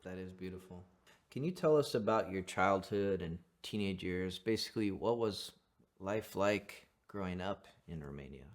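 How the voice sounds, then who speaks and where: A man hosts an interview podcast and guides his guests warmly and earnestly. His voice sits a little low, and his tone stays upbeat and thankful. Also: animated, moderate pace. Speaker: podcast host. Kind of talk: interview hosting.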